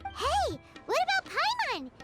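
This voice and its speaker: A woman voicing a tiny, indignant, childlike fairy guide in a video game, squeaky and pouty. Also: wildly swooping pitch, unhurried, over loud music, full character voice. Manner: refers to self in third person, pouty.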